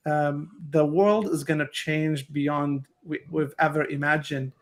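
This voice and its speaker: A male podcast host with a slightly high voice asks his questions earnestly. He often restarts his sentences partway through and then presses on with his point. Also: fairly even intonation, a little slow. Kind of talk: interview questions and commentary.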